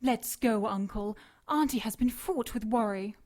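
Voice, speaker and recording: Casting: actress as a dangerous young sharpshooter for audio drama, fairly high voice, cool and mock-polite. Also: female voice, measured pace, a little mellow, close to an everyday voice.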